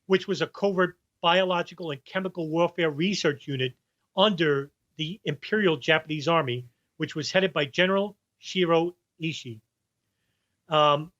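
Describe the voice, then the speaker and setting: A man with a New York accent speaks as a guest on a podcast interview, his voice sitting slightly high. The sound is dull and lo-fi, like a remote call. He rambles, restarting sentences as he searches for names. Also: fairly bright tone. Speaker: guest researcher.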